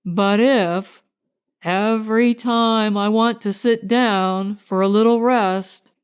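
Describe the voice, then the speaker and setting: A woman puts on a mournful old male donkey from a children's storybook. He grumbles very slowly and ponderously, with long-suffering gloom in every phrase. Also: medium pitch, fairly even intonation, clean tone, lightly acted. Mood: gloomy grumbling.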